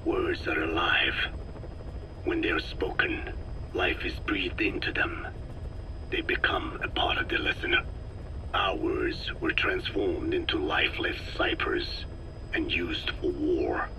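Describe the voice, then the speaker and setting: A man playing a very old, solemn Navajo scientist in a video game, speaking slowly and formally with a breathy, whispery voice full of regret. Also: a bass voice, noisy background, exaggerated voice. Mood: mournful, grave. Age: older. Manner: slow, solemn, measured, formal. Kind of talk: dramatic game dialogue, reflective monologue.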